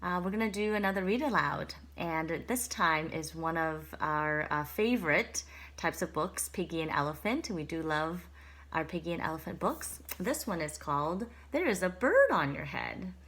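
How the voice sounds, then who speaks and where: A young woman teacher speaking to first graders about a read-aloud, gentle and encouraging, pausing to think. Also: lowish voice, animated. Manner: gentle, encouraging, pauses with hmm.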